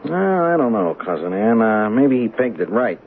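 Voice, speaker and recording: A cocky young street loser with a lowish voice that jumps around a lot. He talks in slang, offhand and halting, always on guard. It is old radio drama, thin and boxy. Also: animated. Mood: offhand, skeptical. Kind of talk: 1970s radio drama acting. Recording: narrow, faintly noisy old radio broadcast.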